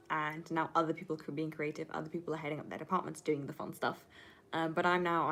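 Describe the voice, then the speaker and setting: A woman in her twenties with a slightly low voice talks casually to a livestream. Her first language is Flemish Dutch. She rambles candidly, swearing and stressing her points as she goes. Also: fairly bright tone. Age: 20s. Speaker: livestreamer and film student. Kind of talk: casual livestream chat answering viewer questions.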